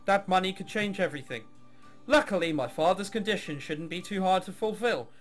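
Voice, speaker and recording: A man's voice: sits high, fairly quick, soft background music, natural voice. A man voicing a jaded young woman, a reluctant orchestra heiress in a visual novel, with sarcastic, bored delivery. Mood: cynical, uninterested.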